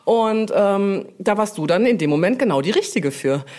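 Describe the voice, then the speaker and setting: A woman answering interview questions informally, explaining things with frequent pauses to think. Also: medium pitch, lively intonation, darkish tone. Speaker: brand manager, interview guest.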